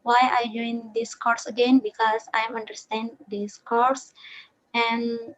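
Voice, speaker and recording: A woman student on a video call, speaking halting beginner English and often repeating words. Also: fairly high pitch, level tone, poor audio. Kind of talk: class feedback.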